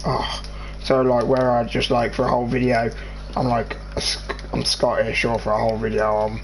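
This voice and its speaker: A man's voice: medium pitch, completely flat delivery, creaky. Rambling English teenage boy, noisy mix. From England. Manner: rambling, halting, restarts sentences. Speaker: gaming channel host. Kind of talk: casual chat over gameplay.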